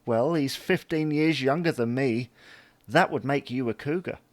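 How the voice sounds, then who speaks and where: A British actor playing a hapless middle-aged husband in a domestic audio sitcom, mild, put-upon and wryly grumbling. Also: male voice, mid-range voice, normal speed, no room sound, lightly acted. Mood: deadpan.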